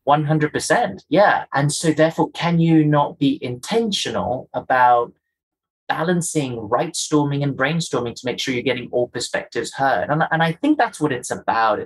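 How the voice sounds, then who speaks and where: A man's voice: on the high side, moderate pace, breathy edge, low-quality recording. A man from Kenya, a guest in an interview, explaining his ideas earnestly, often in lists of rhetorical questions. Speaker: guest, culture and inclusion executive.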